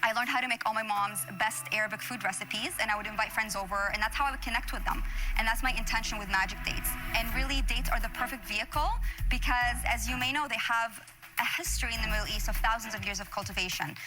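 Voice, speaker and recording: Polished woman pitching over background music. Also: fast. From Syria. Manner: polished, earnest, persuasive. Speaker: entrepreneur pitching. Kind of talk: scripted pitch then Q&A. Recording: TV show clip with music under.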